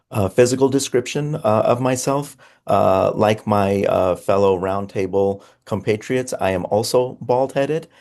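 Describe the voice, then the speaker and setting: Low, gravelly-voiced middle-aged man. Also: darkish tone. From Afghanistan. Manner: formal, measured self-introduction with frequent uhs. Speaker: program director, panelist. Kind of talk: panel discussion.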